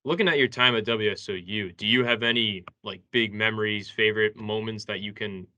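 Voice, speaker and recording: Sharp, bright-voiced young man, low pitch. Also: fairly quick, highs cut off. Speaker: sports show co-host, interviewer. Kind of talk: casual interview questioning.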